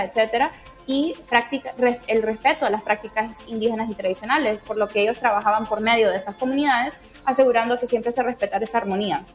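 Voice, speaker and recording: Woman with a fairly high voice. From Honduras. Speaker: interviewee, project team member. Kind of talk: casual interview answers.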